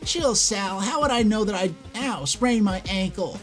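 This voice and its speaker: A man plays a young hero hedgehog from a cartoon, cocky and casual. The voice sits a bit high, with faint music behind it. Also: measured pace, a bit bright.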